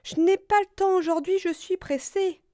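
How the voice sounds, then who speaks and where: Woman voicing a busy, high-pitched squirrel. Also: no room sound, clean studio sound, lightly acted. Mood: hurried, dismissive. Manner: hurried, exclaiming. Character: busy, hurried squirrel, too occupied to play.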